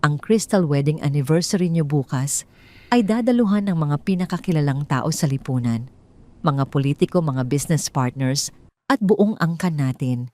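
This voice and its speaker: A woman's voice: low voice, animated, normal speed, dark tone. A woman voicing a stern, suspicious older rich mother-in-law who speaks formally and with authority in an audio drama story. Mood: stern warning.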